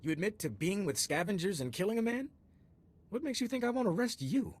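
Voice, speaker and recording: Game casting: pushy government investigator, husky, cynical, with lively pitch swings. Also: male voice, fairly quick. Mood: stern, resigned. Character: cynical, officious male customs agent, pressing interrogator. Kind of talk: video game voice acting.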